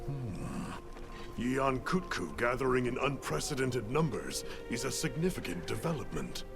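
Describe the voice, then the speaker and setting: A composed commander in a video game, with an average-pitched male voice. He briefs formally and precisely, with calm authority, as music plays behind him. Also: unhurried, gravelly. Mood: thoughtful.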